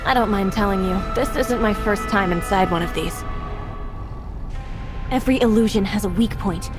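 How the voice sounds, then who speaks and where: A woman playing a quick-witted, confident young adventurer in a video game, playful and given to reasoning aloud. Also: medium pitch, close to an everyday voice. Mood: determined, thoughtful. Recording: music behind the voice.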